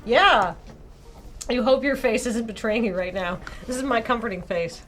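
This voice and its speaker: A woman's voice: fairly high pitch, normal speed, fairly bright tone, slight background noise. A woman streamer playfully reading a game's dialogue aloud and chatting casually. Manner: playful, giggly.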